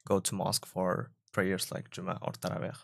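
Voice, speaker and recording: A young man from Uzbekistan speaks in a low, flat, gravelly voice. He explains things in a measured, thoughtful way, with pauses and fillers. The sound is dry and close. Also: dry recording. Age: young adult. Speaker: student guest. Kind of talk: casual discussion.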